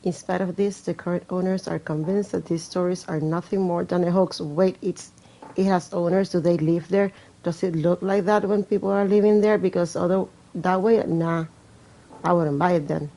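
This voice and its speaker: Low, mellow woman with wry asides. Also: steady tone, moderate pace, rough recording. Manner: sarcastic, wry, swearing asides. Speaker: let's play streamer. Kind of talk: reading game text aloud with casual commentary.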